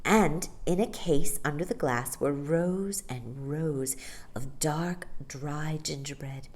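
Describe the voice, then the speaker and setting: A woman reading a children's story aloud as an expressive storytime narrator. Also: low voice, takes it slowly. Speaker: storytime reader.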